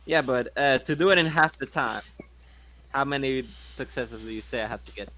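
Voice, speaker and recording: A man's voice: mid-range voice, moderate pace, bright, forceful tone. A man playing a tabletop role-playing game, chatting casually as a player.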